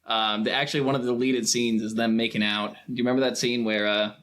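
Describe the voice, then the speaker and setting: A man's voice: mid-range voice, level tone, fast, a bit bright. A man talking casually in a film discussion, retelling things informally with mock quotes. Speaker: film podcast speaker.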